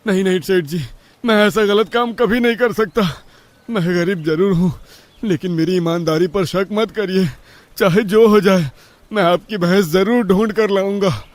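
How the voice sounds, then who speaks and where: Man acting a high-voiced, anxious young servant herdsman. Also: normal speed, no room sound, natural voice. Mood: anxious, pleading. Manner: pleading, deferential. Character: poor, honest, anxious village herdsman servant. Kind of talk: Hindi horror story character dialogue.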